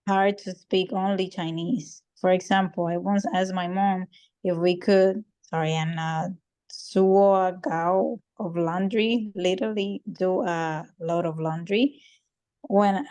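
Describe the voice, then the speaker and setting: A woman participant reading a passage aloud on a video call, pausing to apologize now and then. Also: on the low side, darkish tone, dry recording. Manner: reads haltingly with apologies. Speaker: workshop participant.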